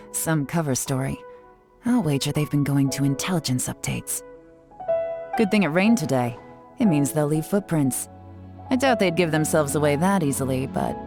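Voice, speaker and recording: A woman playing a cool, shrewd female intelligence operative in a video game, composed, confident and knowing. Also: deep voice. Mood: knowing, assured. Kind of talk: video game character acting.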